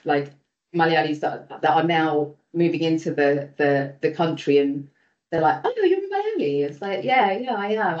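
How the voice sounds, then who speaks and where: Low-voiced East London woman. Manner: chatty, lively, with quoted asides. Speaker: panelist. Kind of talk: casual round-table introduction. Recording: echoey room, dull lo-fi sound.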